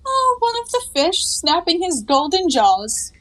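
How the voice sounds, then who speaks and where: An actress playing a flippant, quick-witted young woman in a 1920s comedy audio play, breezy and playfully sarcastic. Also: very high voice, very animated, a bit bright, exaggerated voice, rough recording. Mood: evasive.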